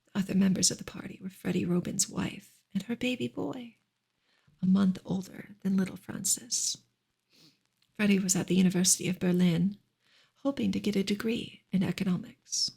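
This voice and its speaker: Female ASMR narrator for a literary audiobook: very breathy, gentle, near-whispered read, restrained intonation. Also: medium pitch, steady tone, moderate pace. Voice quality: breathy, often slipping into whisper. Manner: gentle, hushed delivery. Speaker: narrator reading a novel aloud. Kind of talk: soft-spoken ASMR audiobook reading.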